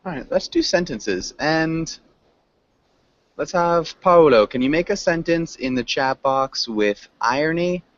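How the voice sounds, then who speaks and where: A man's voice: on the high side, animated, a little slow. A man teaching an interactive online vocabulary class, encouraging students and prompting them with questions. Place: online group video class. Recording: dull, lo-fi online call audio.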